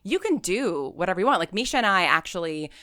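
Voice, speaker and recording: American woman, bright forward voice, slightly low pitch, casual interview talk, storytelling manner. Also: sing-song, fast talker, no room sound, clean studio sound. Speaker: podcast guest, audio drama writer and creator.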